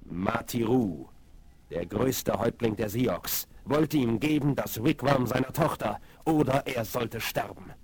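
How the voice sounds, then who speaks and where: Solemn, gravelly warrior chief. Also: male voice, mid-range voice, unhurried, a little mellow, a little hiss or hum. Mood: grave, admiring. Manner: solemn, ceremonious, inverted word order. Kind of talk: 1970s German radio play acting. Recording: vinyl rip of 1970s radio play.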